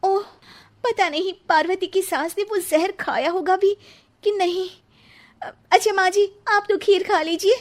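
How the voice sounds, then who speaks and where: Feisty cartoon daughter-in-law played by a woman, extremely high voice, sarcastic, theatrical, always answering back. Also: fairly even intonation, a little slow. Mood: sly, needling. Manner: theatrical, argumentative back-talk. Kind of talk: exaggerated Hindi cartoon family drama.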